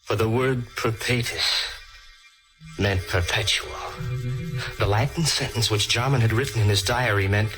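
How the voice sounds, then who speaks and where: Casting: actor as obsessed scholar-narrator, deepish male voice, brooding radio-mystery delivery over prominent music. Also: measured pace, natural voice, poor audio. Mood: uneasy, haunted. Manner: dramatic, brooding first-person storytelling. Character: obsessed, scheming chemistry teacher narrating his own story. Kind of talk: old-time radio mystery acting. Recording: vintage radio recording with loud music behind.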